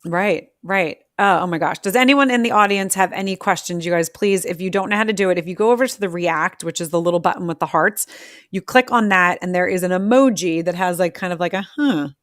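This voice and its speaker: A woman's voice: on the low side, a little fast, fairly bright tone, dry recording, clean studio sound. A woman hosting a live audio interview, effusive and rambling, with many restarts as she talks to her guest. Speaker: podcast host. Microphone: remote audio on a social audio app.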